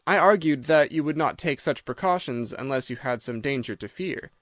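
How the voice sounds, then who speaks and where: A man narrating a scripted mystery story in a measured, formal and dramatic storytelling manner. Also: a little high, animated, a bit bright, no room sound.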